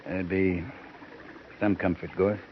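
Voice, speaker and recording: Stern cavalry captain, terse and commanding, muffled old radio sound, light hiss. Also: male voice, deep voice, flat delivery, slow. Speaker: lead character.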